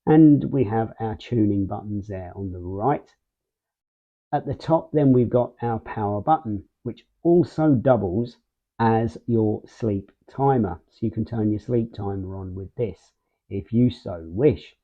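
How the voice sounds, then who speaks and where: A man hosting a casual product unboxing and walkthrough, speaking in short, measured phrases with pauses mid-sentence. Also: lowish voice. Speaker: video host, product reviewer. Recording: muffled, phone-like narrow band sound.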